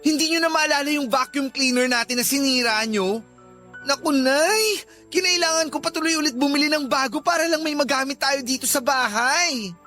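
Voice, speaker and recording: A woman's voice: high-pitched, normal speed, sharp tone, soft background music. A woman playing an irritated grown child who scolds an elderly mother in an exasperated, nagging way, as in a radio drama. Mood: exasperated scolding. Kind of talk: Tagalog radio drama acting.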